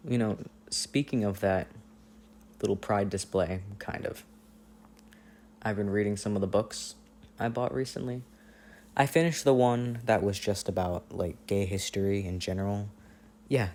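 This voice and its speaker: A man playing an affectionate, playful boyfriend on a long-distance phone call, in intimate, casual ASMR roleplay with gentle teasing and hesitant pauses. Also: lowish voice, fairly even intonation, a little hiss or hum, natural voice. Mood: earnest, chatty. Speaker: audio roleplay performer.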